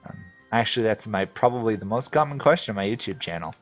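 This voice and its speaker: A man from New England with a New England accent, casually commenting on his strategy game as he plays and thinking out loud with many fillers. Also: on the low side, animated, fast, highs cut off, faint music behind. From New England, USA. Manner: thinks aloud, rambling with fillers. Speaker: game streamer. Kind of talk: casual commentary while playing.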